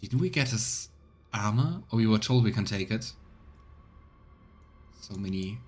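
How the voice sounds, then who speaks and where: A man's voice: lowish voice, animated, a little slow, darkish tone, slightly rough. A man streaming a video game, commenting casually and reading the game's narration aloud. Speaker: let's play streamer. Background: game music.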